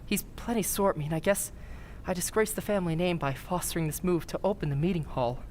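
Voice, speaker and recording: A woman's voice: fast talker, darkish tone, natural voice. A woman playing an earnest, polite, nervous young townsman in old-time radio drama style, with hesitant delivery. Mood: uneasy. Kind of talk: 1940s radio drama acting. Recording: faint rumbling background noise.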